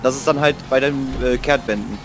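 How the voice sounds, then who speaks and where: A man in casual, exclamatory gaming chat with friends, excitable as he talks. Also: medium pitch, brisk, poor audio. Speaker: gamer playing with friends on voice chat. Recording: game voice chat under loud music. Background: music and game vehicle sounds.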